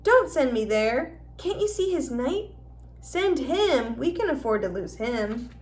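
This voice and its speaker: A woman's voice: high voice, sing-song, measured pace. A woman reading a storybook and voicing a bossy, distrustful living chess piece that argues and gives orders. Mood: indignant. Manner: shouting orders, argumentative.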